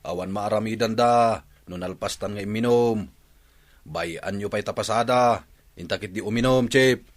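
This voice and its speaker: A man's voice: on the low side, normal speed, natural voice. An actor playing an easygoing man who goads his drinking buddy, in an Ilocano radio drama. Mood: coaxing.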